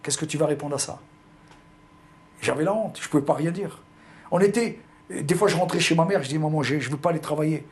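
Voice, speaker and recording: Older man, pied-noir accent, slightly high voice, halting, repeats himself. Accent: pied-noir French. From Algeria. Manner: hesitant, repeats words, animated storytelling. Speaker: interviewee. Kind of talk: recounting personal memories.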